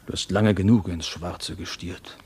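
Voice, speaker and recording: An actor playing a fervent, scheming mentor in a theatrical radio drama, urgent, rhetorical and commanding. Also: male voice, sits low, fairly even intonation, unhurried, darkish tone, husky, heavily acted. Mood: fervent, boastful. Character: fervent, scheming mentor and jailer urging revolt.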